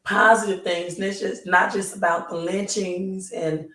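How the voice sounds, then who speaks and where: A hoarse woman hosting a live stream, talking slowly and pausing often to ask "right?". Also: medium pitch, fairly even intonation, pushed and bright. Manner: slow, halting, repeats "right?". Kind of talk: casual live stream talk. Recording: roomy, distant mic.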